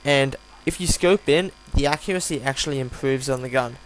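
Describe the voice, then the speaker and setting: Croaky, tired man with background hum. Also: medium pitch, brisk, poor audio. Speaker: gaming video host reviewing a weapon. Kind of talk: casual unscripted gameplay commentary. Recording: home recording with audible hum, background noise.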